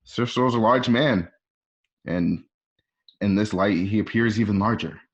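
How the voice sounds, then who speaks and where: A man's voice: lowish voice, a little slow, highs cut off. A man reading stage directions for a radio drama in a conversational way, with casual asides. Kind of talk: informal scripted narration for radio drama.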